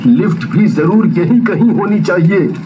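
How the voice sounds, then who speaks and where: A man's voice: high voice, animated, a little slow, slight background noise. A man playing a terrified young man trapped in a horror story, stammering and pleading, in a muffled, distant recording. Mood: desperate. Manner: stammering, pleading, panicked muttering.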